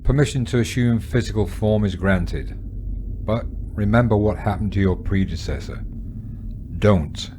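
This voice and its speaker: An actor playing an aloof, stern alien superior in an audio drama, with formal, clipped, commanding delivery. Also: male voice, fairly low pitch, unhurried, dark tone, a little hiss or hum, close to an everyday voice. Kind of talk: scripted audio drama acting.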